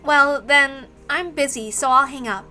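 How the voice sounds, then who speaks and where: A woman's voice: high voice, unhurried, bright, forceful tone, faint music behind, voice pushed down. A woman voicing a busy, curt mother talking down to a child in a dramatic story reading. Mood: brisk, dismissive. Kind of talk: dramatic reading of a visual novel.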